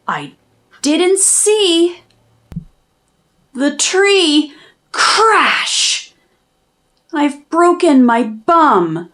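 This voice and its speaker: Woman reading aloud, high and swooping. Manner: expressive, dramatic emphasis. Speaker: children's book narrator, channel host. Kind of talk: storybook read-aloud, rhyming verse.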